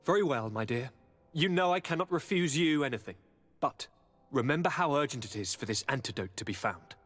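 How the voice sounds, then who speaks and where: A man's voice: medium pitch, expressive, brisk, fairly bright tone. An actor playing a charming, eager young nobleman governor in a video game, speaking in a courtly, theatrical and affectionate way. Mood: resigned, stern. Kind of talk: dramatic video game voice acting. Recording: reverberant hall, music underneath.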